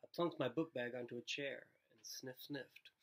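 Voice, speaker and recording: A man in his thirties narrating a children's book aloud in an expressive read-aloud style. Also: medium pitch, normal speed. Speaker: storybook reader. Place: living room, indoors.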